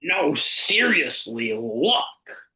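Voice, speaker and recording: A man's voice: on the high side, very animated, extremely slow, gravelly, pushed and bright, full character voice. A man playing an uneasy, hesitant bystander in a live drama script reading. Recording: roomy echo, faint music.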